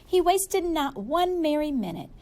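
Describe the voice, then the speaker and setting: A woman reading a children's story aloud in a playful, expressive way, leaning on words for emphasis. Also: high-pitched. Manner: animated, playful, drawn-out emphasis. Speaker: storybook reader.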